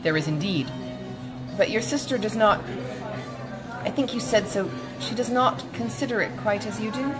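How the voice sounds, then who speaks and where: A woman voicing a grave, honourable gentleman colonel in an audiobook, halting, earnest and formal. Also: medium pitch, music in the background, lightly acted. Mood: troubled. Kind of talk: audiobook character dialogue.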